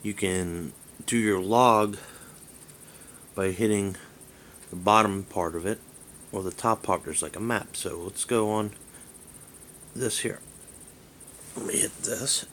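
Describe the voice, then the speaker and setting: Male hobbyist vlogger, low-pitched and forceful in tone, casual walkthrough, meandering and hesitant, faintly noisy recording. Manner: halting, rambling, thinking aloud. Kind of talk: casual hands-on app demonstration.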